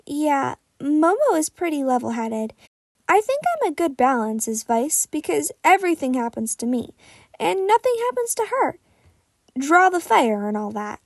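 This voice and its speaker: Teenage male hero student read by a woman, earnest explainer, high voice, dry fan fiction reading. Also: big pitch swings, normal speed, dry recording. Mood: easygoing.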